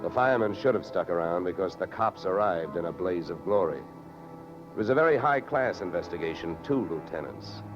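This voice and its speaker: Hard-boiled American investigator, low voice, sardonic, deadpan, vintage radio drama, muffled old recording. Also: male voice, moderate pace, loud music behind the voice. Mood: dry, sardonic. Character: wisecracking, hard-boiled male insurance investigator. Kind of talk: 1940s-50s radio detective drama, narration and dialogue.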